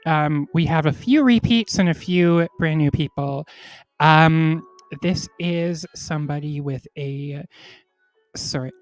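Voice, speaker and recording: A woman's voice: sits low, takes it slowly, faint music behind. A woman hosting an online comedy show while congested from a cold and a little tipsy, bubbly and giggly with her guests, over a video call. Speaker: host.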